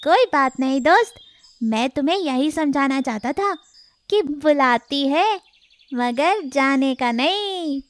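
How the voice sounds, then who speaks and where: A kindly, wise monkey voiced by a woman in a high voice. He teases playfully in slow, drawn-out phrases, as in a cartoon fable for children. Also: animated, dry recording. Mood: amused, reassuring. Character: wise, kindly, teasing male monkey friend. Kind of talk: animated children's fable character voice.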